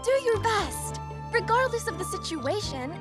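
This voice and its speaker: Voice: very high-pitched, animated, normal speed, over music. An actor voicing a kind, reassuring young girl in a children's cartoon, sincere and encouraging. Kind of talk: children's animated series dubbing.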